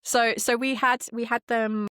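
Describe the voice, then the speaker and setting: A woman chatting casually as a podcast guest, telling things in an animated, enthusiastic way. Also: fairly high pitch, unhurried, clear, resonant tone, dry recording. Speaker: podcast guest, audio drama creator and game master. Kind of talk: casual interview chat.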